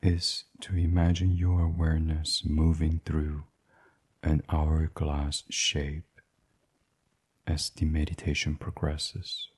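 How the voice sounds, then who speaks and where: A man gently and soothingly reading a guided sleep meditation in a breathy, husky voice, as the host. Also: rumbling low, completely flat delivery, slow.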